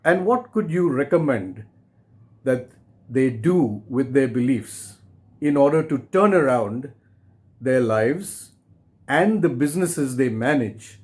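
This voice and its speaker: A man presenting and reading his own book to listeners in a deliberate, measured way, in short phrases with pauses. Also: fairly high pitch, darkish tone.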